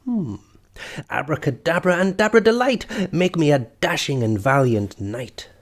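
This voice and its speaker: A man's voice: on the high side, very animated, measured pace. A man voicing a small, competitive boy wizard who chants spells dramatically in a storybook. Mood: pondering. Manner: dramatic, incantatory.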